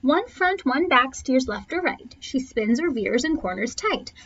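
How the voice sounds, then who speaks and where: A woman's voice: high voice, sing-song, unhurried, fairly bright tone. A woman reading a rhyming children's picture book aloud with theatrical phrasing, stretching and punching key words. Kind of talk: expressive children's storybook read-aloud, rhyming verse. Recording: dull, lo-fi sound.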